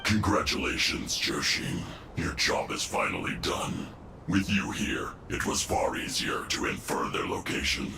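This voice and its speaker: An actor voicing a scheming male villain in a video game, mocking and menacing in a gravelly voice. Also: big pitch swings, a little slow, echoey room, noisy background, heavily acted. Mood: gloating. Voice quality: gravelly, deep. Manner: taunting, smug.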